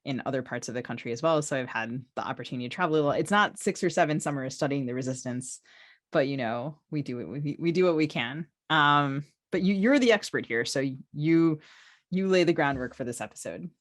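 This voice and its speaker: A woman with a low voice hosts a conversational podcast. Her delivery is wry and teasing, and the sound is close and dry. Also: fairly quick, no echo. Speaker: podcast co-host.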